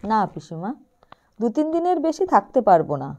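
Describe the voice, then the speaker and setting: A woman's voice: fairly high pitch, very animated, normal speed, dark tone, dull audio. A woman voicing a young man from the city, a teasing nephew in a storybook, in a light, playful way.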